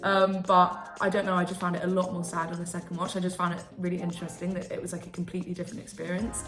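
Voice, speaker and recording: A young woman gushes about films in a steady, flat, lowish voice. The sound is roomy, with music clearly playing behind her. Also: quick pace. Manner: enthusiastic, chatty. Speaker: podcast guest. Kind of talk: casual film chat.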